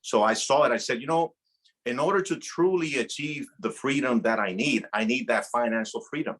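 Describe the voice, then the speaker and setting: A man's voice: medium pitch, normal speed. A man from Rico, in his thirties, telling his story as a podcast guest with earnest energy and frequent restarts. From Puerto Rico. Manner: earnest, animated storytelling with repeated words. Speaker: guest, insurance agency director. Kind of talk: casual podcast interview.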